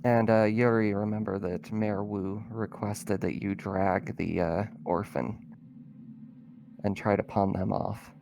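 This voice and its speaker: A man's voice: on the low side, flat delivery, slow, vocal fry, background noise. A man running a tabletop roleplaying game as game master, explaining informally and a little hesitantly.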